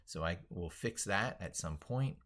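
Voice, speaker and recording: Deep-voiced man thinking aloud on a livestream. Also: expressive, measured pace, a bit bright. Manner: rambling, thinking aloud while working at computer. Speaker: livestream host, tech educator. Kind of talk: casual livestream screen walkthrough with reading aloud.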